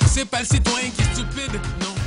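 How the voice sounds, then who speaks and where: Woman rapping over a loud beat. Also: on the high side, a little fast, over music. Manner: rhythmic, protesting. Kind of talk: spoken-word rap over a beat. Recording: loud hip hop beat behind.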